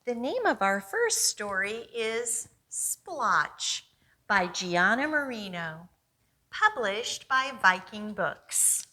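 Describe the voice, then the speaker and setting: A woman's voice: mid-range voice, very animated, takes it slowly, sharp tone. A woman hosting a children's storytime, reading aloud expressively and coaxingly putting questions to young listeners.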